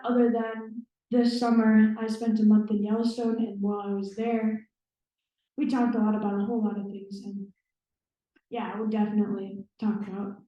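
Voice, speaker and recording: A woman's voice: mid-range voice, warm tone. A young woman from Minnesota speaking on a panel, hesitant and casual. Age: young adult. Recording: echoey room from a distance, dull sound.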